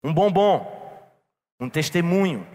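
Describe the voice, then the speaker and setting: A man's voice: a little high, animated, takes it slowly, a little mellow. A man preaching a sermon to a church congregation, telling a personal story and calling them brothers. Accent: non-local Brazilian. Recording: long reverb in a church hall.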